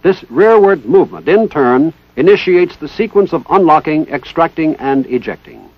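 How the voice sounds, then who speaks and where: A man giving formal, precise, scripted narration in the style of a 1960s military instructional film, with measured enunciation. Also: on the high side, animated, no echo. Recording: dull 1960s training film soundtrack.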